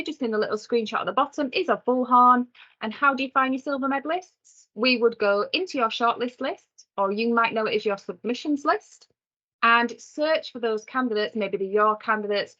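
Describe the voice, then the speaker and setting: A woman's voice: on the high side, a little fast, sharp tone. Woman trainer, slightly lo-fi sound. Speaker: software trainer co-presenting a webinar. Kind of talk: conversational instructional presentation. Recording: slightly dull lo-fi webinar audio.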